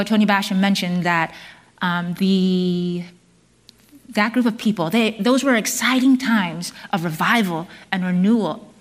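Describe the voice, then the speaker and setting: Woman, middle-aged, born in South Korea, sermon, earnest, measured, mid pitch, occasional fillers. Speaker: preacher.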